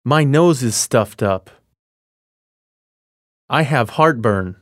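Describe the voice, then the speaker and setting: A man reads phrases for language learners in a plain, measured way, his pitch sitting in the middle of the usual range. He speaks very slowly, and the recording is dry and close to the mic. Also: animated, a bit bright, no room sound. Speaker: language lesson voice actor. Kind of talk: scripted role-play and phrase reading.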